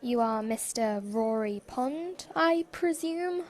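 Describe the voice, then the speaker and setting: A woman's voice: on the high side, animated, takes it slowly, a little mellow. A woman playing a stern, exasperated department store boss in a fan audio drama, formal and condescending. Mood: businesslike.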